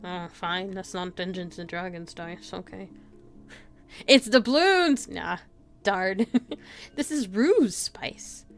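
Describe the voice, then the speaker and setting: A woman's voice: mid-range voice, slow. Woman, game streamer, casual reactions, giggly, visual novel read-aloud, music in the background.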